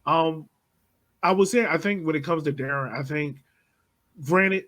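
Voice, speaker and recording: Easygoing, higher-voiced male co-host. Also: fairly bright tone. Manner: easygoing, leads with questions, measured pushback. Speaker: podcast co-host. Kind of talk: casual sports chat.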